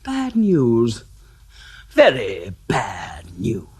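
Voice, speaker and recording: A sly, insinuating clairvoyant in a radio play, drawing out every word in a husky, unhurried drawl. The pitch swings hugely as he teases, with faint music underneath. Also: wildly swooping pitch, heavily acted. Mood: taunting. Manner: slow, teasing, insinuating. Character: sly male clairvoyant, cheap showman. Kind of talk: radio drama acting.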